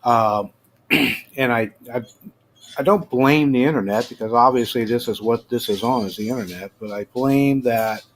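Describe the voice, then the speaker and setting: Middle-aged American male host, average pitch, informal rambling commentary with halting delivery, homemade recording with some noise. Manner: informal, halting, trails off mid-thought. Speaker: host of a personal rant show. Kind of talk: casual rambling news commentary. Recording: clearly noisy amateur home recording.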